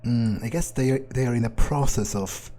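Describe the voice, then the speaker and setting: A man's voice: mid-range voice, normal speed, mellow. A man doing in-character game commentary, thinking aloud in a theatrical, self-assured, musing way. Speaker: gamer playing in character.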